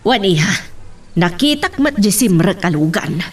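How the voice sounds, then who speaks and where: Expressive, worried Filipino mother played by a woman. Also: mid-range voice, a little slow, distant mic. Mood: anxious. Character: worried wife and mother, gentle with her daughter. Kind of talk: Filipino radio-style drama acting.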